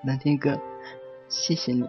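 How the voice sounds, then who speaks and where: Despairing young man played by a man, gentle, resigned, medium pitch, muffled lo-fi recording, for radio drama. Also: steady tone, unhurried, music in the background, lightly acted. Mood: grateful. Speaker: voice actor. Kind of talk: audio drama acting.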